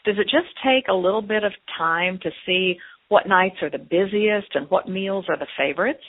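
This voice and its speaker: A woman's voice: medium pitch, moderate pace, slightly rough, phone-quality sound. A woman hosting a radio interview in a friendly, upbeat presenter manner. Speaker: radio host.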